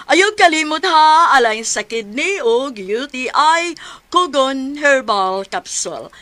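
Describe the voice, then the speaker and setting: A female product announcer with a Cebuano accent and a high, bright voice. She speaks slowly and deliberately, stressing each instruction of her pitch. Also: expressive, no room sound.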